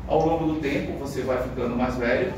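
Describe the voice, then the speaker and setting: A man's voice: mid-range voice, brisk. Echoey distant Brazilian male lecturer. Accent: Brazilian Portuguese. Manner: conversational, frequent fillers and tag questions. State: has a cold. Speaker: medical lecturer. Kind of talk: classroom lecture. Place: lecture room. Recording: very echoey room, distant mic, noise.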